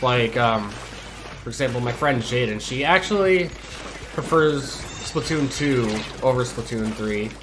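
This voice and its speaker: A man's voice: mid-range voice, animated, measured pace, background noise. A man hosting a gaming channel, chatting casually over gameplay while stuffy from a cold, laid-back and hesitant with lots of ums and restarts.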